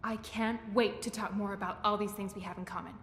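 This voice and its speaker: A woman's voice: medium pitch, steady tone, fairly quick, fairly bright tone, natural voice. A woman playing an eager, awkward, bookish young woman in a fantasy video game, gushing and rambling as she corrects herself. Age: young adult. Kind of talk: video game character acting. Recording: echoey hall, faint background noise.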